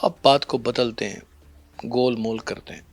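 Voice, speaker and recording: A man's voice: mid-range voice, a little slow, vocal fry. A middle-aged man with a Pakistani accent, hosting a conversational interview in a probing, teasing, dramatic way. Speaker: podcast host, interviewer.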